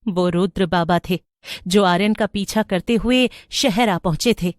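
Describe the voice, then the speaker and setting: A woman's voice: fairly low pitch, measured pace, no room sound, studio quality. A woman reading scripted narration for a horror story.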